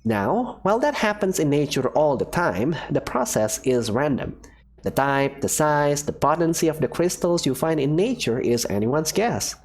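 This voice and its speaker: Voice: mid-range voice, very animated, moderate pace. A voice actor playing a cheerful, secretive woman professor who runs a daring experiment, talking in an upbeat, teasing way. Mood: explaining. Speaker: character voice in a fan visual novel. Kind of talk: visual novel voice acting.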